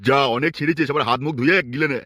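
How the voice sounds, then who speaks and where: A man's voice: a little high, steady tone, racing, bright, forceful tone, dry recording. A man voicing scolding elders in a crude comic cartoon, blustering and insulting. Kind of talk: cartoon voice acting, gruff elders.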